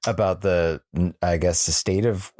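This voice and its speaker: A man's voice: deep voice, steady tone, unhurried, darkish tone, dry recording, clean studio sound. A man co-hosting a podcast, speaking hesitantly in casual chat and searching for his words. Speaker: podcast co-host.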